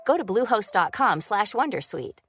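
A woman's voice: mid-range voice, very animated, moderate pace, fairly bright tone. A woman reading a scripted advertisement in an upbeat, polished sales delivery. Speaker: ad announcer. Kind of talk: scripted ad read. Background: faint music.

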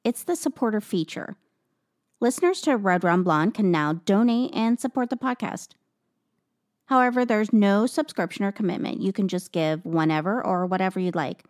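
A woman's voice: mid-range voice, normal speed, dry recording, clean studio sound. A woman hosting a true crime podcast, talking to listeners and narrating. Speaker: podcast host.

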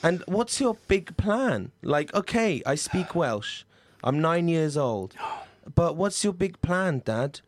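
A man's voice: a little high, big pitch swings, takes it slowly, few pauses. A man playing an earnest, sheltered nine-year-old son in improvised comedy, reasoning hesitantly and stumbling over his words. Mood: exasperated. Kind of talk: improvised comedy role-play.

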